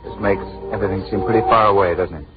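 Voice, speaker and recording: A man's voice: fairly low pitch, fairly even intonation, very fast, close to an everyday voice. An actor playing a smitten, tender detective as the leading man in a 1940s romantic radio drama. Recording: muffled 1940s radio recording, music behind.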